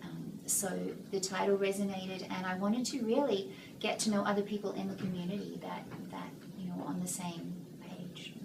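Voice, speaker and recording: An older Australian woman, a guest author, talking gently and reflectively in a casual interview, sometimes searching for words. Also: medium pitch, expressive, normal speed. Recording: roomy, distant mic with noise.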